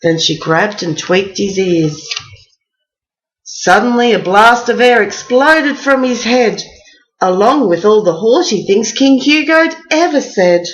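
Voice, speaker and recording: A woman's voice: mid-range voice, lively intonation, slow, highs cut off. A woman storyteller reading a rhyming picture book aloud as a bedtime story.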